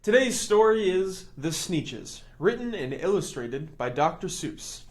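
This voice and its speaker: A man reading a rhyming picture book to children, expressive and rhythmic, as the host of a storytime. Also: high voice, unhurried, soft background music.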